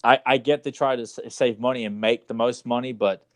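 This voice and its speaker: A man's voice: medium pitch, steady tone, fairly quick, dry recording. Man in a casual chat, dry close sound. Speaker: podcast co-host. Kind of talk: casual chat about movies.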